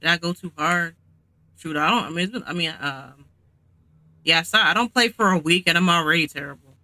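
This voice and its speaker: A man commentates casually on a video game. His voice is strikingly bright and forward, pitched a little high. He rambles, thinking out loud as he goes. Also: fairly quick, no echo. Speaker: gamer commenting on gameplay.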